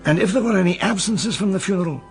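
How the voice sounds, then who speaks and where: A man's voice: high voice, fast talker, soft background music, lightly acted. A man acting a courteous, shrewd London investigator with a British accent in a radio drama, polite and insinuating. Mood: probing, smooth.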